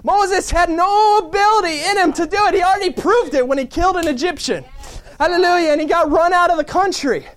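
High-voiced preacher punctuating with amen. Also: male voice, brisk. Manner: fervent, punctuates with amen and hallelujah; builds to shouting. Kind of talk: sermon.

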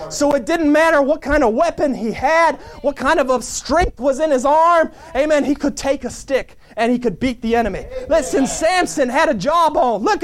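A man preaching a fervent sermon, peppering his lines with amen and hallelujah and building to shouts. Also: very high-pitched.

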